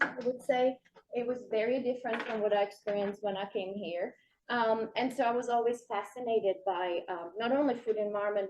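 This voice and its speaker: A woman's voice: medium pitch, slight background noise. A middle-aged woman from the Czech Republic with a Czech accent, a nutrition professor giving a keynote lecture in a lecture room, talking with many fillers and light asides. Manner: many fillers, warm self-deprecating asides. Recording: dull lo-fi sound.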